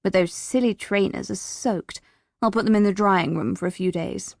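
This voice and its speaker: A woman voicing a stern but kindly female teacher in a storybook, with a brisk, bossy, scolding manner. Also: medium pitch, vocal fry, darkish tone, dry recording, clean studio sound, close to an everyday voice. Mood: brisk, reassuring.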